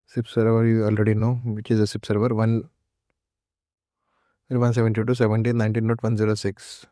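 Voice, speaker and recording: A man giving an unscripted, step-by-step technical setup walkthrough in a halting, repetitive instructional way. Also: on the low side, monotone, mellow, no room sound, clean studio sound. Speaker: technical tutorial presenter.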